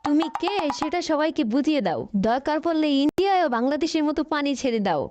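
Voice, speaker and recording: Woman playing high-pitched scheming cartoon lady leader. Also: fast talker, natural voice. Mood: approving, scheming. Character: cartoon caricature of a vain, scheming female political leader. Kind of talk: comic cartoon parody dialogue. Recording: dull lo-fi, noisy, clicks.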